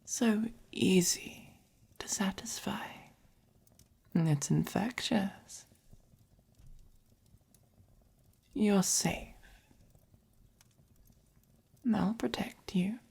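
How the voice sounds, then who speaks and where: Soft dom vampire for ASMR roleplay, female performer, English accent, breathy whisper with a creaky edge, unhurried, possessive yet tender. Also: medium pitch, heavily acted. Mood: soothing, affectionate. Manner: slow, coaxing, possessive. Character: doting, possessive, gently dominant vampire owner of a human pet.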